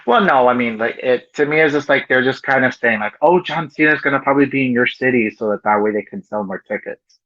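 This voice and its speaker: A man's voice: mid-range voice, fairly quick, fairly bright tone, dry recording. Laid-back guy chatting on a podcast, lots of "like" and "you know", muffled dry lo-fi audio. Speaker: podcast co-host. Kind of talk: casual chat.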